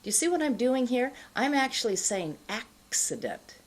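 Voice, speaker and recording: A middle-aged woman giving an instructional pronunciation lesson, explaining in a deliberate, carefully enunciated way. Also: on the high side, very animated. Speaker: pronunciation teacher. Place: indoor studio, plain backdrop.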